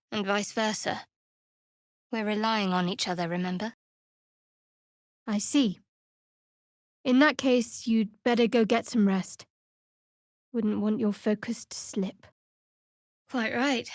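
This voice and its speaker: An actress playing a guarded, devout young woman cleric of a dark goddess in a fantasy video game, with a measured, wry delivery. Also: mid-range voice, no room sound, clean studio sound. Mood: guarded, composed.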